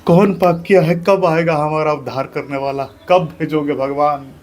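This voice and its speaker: A man's voice: on the high side, steady tone, moderate pace, darkish tone, lightly acted. An actor playing a downtrodden laboring man who begs not to be beaten and cries out to God, in a repetitive, wailing delivery. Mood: lamenting. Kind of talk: stage monologue acting.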